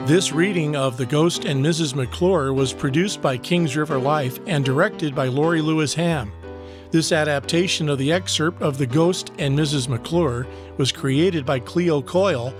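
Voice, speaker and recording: Higher-pitched man reading credits over music. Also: a little slow. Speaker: podcast announcer reading credits. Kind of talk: scripted outro read. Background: guitar music.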